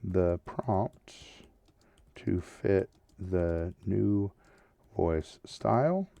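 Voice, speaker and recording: A man with a lowish, gravelly voice walks viewers through a tutorial in an informal, step-by-step way. He checks in often as he goes. The sound is dry, with the voice sitting a little away from the mic. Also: extremely slow, warm tone, no room sound, far from the mic. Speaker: tutorial host. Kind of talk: casual screen-share tutorial, narrating steps as he goes.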